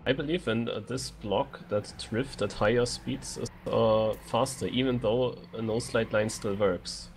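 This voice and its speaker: A man's voice: medium pitch, steady tone, unhurried, hardly any pauses, slight background noise. A man giving casual, analytical co-commentary on a race in hesitant, understated asides. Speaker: esports co-commentator.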